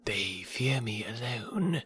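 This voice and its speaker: A man voicing a huge old python for a storybook reading, haughty and menacing, with a breathy, whispery rasp and drawn-out delivery. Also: medium pitch, lively intonation, fairly bright tone, no room sound, heavily acted. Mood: haughty contempt. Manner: slow, drawn-out, deliberate. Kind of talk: audiobook character voice.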